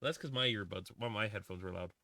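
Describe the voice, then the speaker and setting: A man's voice: fairly low pitch, fast, pushed and bright, no room sound. A man in his twenties, streaming a game and chatting casually, rambling with lots of fillers and restarts. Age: 20s. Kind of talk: casual livestream chat while playing a game.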